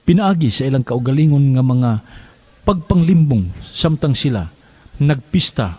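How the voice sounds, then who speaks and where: Dark, husky man reading scripture. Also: medium pitch, lively intonation, normal speed, dry recording. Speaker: scripture reader. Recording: music behind.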